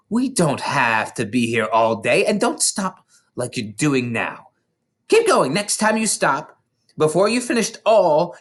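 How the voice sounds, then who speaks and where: A reader voicing a fierce, bullying headmistress from a storybook, shouting and barking commands. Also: medium pitch, voice pushed up, heavily acted. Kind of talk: storybook character voice.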